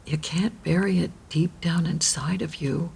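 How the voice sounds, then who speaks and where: A woman playing a kindly, cryptic elderly widow in an audio drama, gentle and measured with a husky, creaky voice. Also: low voice, fairly even intonation, full character voice, poor audio. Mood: calm, cryptic. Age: older.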